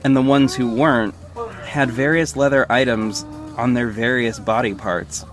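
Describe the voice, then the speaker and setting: A man's voice: medium pitch, fairly even intonation, moderate pace, natural voice. A man playing a wry young superhero who narrates his own mission in scripted first person. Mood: measured, descriptive. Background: music bed.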